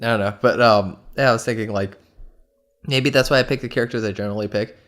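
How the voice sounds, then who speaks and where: A man's voice: on the low side, quick pace, fairly bright tone. A man co-hosting a podcast, chatting casually about games, rambling with plenty of fillers and frequent laughs, his nose a little stuffy. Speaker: podcast co-host. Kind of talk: casual gaming chat.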